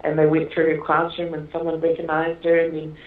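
A woman's voice: deep voice, completely flat delivery, fairly quick. A woman film director being interviewed, talking casually with many fillers and frequent laughs. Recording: echoey, tinny phone-like line.

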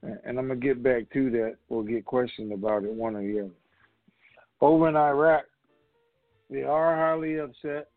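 A man's voice: mid-range voice, normal speed, no echo, faint music behind. Man on a muffled phone line. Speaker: conference call host. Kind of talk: casual, rambling talk to a group call. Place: telephone conference call. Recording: muffled, narrow-band phone conference line.

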